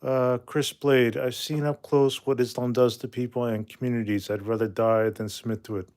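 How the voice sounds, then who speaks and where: A man's voice: mid-range voice, level tone, moderate pace, darkish tone. Man, forties, from Canada, casual livestream host, rambling, blunt, reads comments aloud. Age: 40s.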